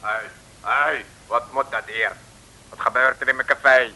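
A man's voice: mid-range voice, steady tone, unhurried, pushed and bright, rough recording. A man playing a brusque, businesslike café landlord in a 1960s radio comedy serial. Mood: indignant. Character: gruff male café landlord, indignant but businesslike. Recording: old radio recording.

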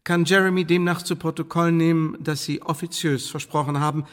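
An actor playing a suave, menacing Elizabethan secret service chief in a radio drama, speaking with measured, officious politeness and veiled threats. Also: male voice, a little high, steady tone, lightly acted. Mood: smooth, formal. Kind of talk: German radio drama acting. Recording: reverberant hall-like room.

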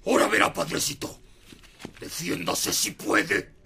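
Blustering high-voiced Mexican countryman. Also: very animated, measured pace, creaky, slight background noise, full character voice. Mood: menacing. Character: jealous, bullying countryman threatening a priest, then cowed. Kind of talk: radio drama acting. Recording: loud music behind the voice.

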